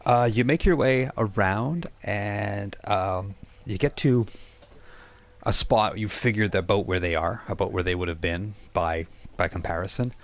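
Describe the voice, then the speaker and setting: Male game master, deep-pitched, describing scenes off the cuff with a halting, word-hunting delivery. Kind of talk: narrating a live tabletop role-playing game, improvised.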